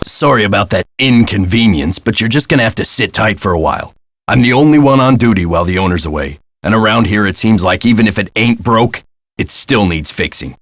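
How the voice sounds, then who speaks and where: An actor playing a gruff, put-upon castle hotel caretaker in a video game, with a folksy, sardonic and exasperated way of talking. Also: male voice, on the low side, fairly bright tone, dry recording, clean studio sound. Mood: harried. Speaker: caretaker and front desk clerk. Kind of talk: scripted video game character lines.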